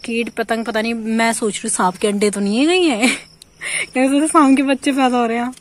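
Young woman, casual phone vlog outdoors, slightly high voice, faint insects behind. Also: steady tone, brisk, a little hiss or hum. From India. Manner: chatty, narrates what she is doing to the camera. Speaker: vlogger. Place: outdoors at home and in a field. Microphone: phone camera.

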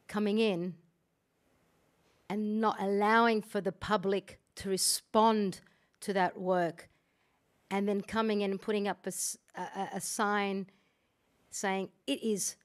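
A woman in the audience making a loud, reflective comment without a microphone. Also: mid-range voice. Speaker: audience member.

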